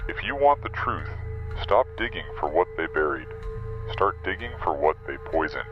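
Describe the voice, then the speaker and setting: An actor playing an ominous anonymous caller in a noir audio drama, cryptic and deliberate, through a muffled call filter. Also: male voice, sits low, animated, faint music behind. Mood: ominous warning. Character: mysterious, ominous anonymous male caller with warnings.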